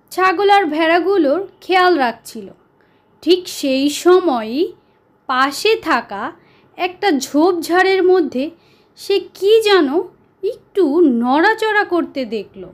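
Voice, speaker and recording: A woman narrating a children's story as a storyteller, deliberate and pausing for dramatic effect. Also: extremely high pitch.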